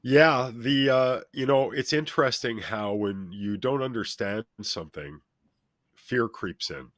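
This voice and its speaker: A middle-aged or older man with a deep, authoritative voice, speaking as a motivational guest and addressing listeners in an emphatic, instructive way. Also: measured pace, fairly bright tone, no room sound, studio quality. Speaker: talk show guest, self-help speaker. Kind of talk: motivational interview talk, speaking at length.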